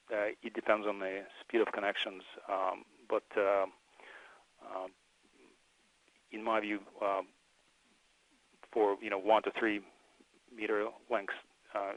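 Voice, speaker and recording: Flat-voiced, halting man answering technical questions. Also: fairly low pitch, no echo. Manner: hesitant, halting, measured. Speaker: presenting research engineer. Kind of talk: answering webinar audience questions. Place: remote webinar call. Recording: dull, slightly muffled online call.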